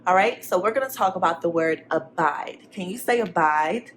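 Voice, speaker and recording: A woman's voice: on the low side, moderate pace, slight background noise. A woman teacher reading a storybook aloud to young students, expressively, with simple encouraging questions and asides for the children.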